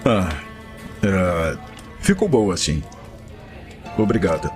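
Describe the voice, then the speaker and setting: A man playing a modest young hero knight in an audio drama, polite, halting and hesitant. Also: low-pitched, very animated, heavily acted. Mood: awkward. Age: young adult. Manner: halting, courteous. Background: loud music.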